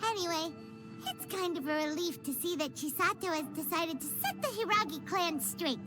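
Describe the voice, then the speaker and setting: Paimon, a squeaky fairy sidekick. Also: female voice, moderate pace. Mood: chirpy. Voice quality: high, squeaky childlike voice. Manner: speaks of herself in third person. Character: small floating fairy companion, chirpy and blunt. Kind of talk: video game voice acting. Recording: game dialogue over loud background music.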